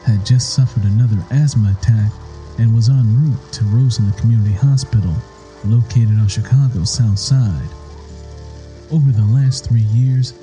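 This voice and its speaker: A man narrating a novel in the first person, reading in a measured, deliberate, dramatic way. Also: mid-range voice, mellow, rough recording. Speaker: audiobook narrator.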